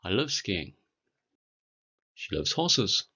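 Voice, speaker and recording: A British man in his forties teaching a beginner English lesson, speaking clearly and deliberately for learners. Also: lowish voice, sing-song, sharp tone, muffled recording. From Britain. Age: 40s.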